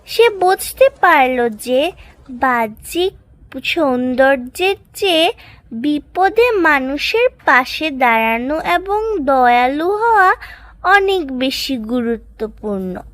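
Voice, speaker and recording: A woman's voice: high-pitched, a bit bright. A woman narrating a scripted moral story for children as a storyteller. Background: music.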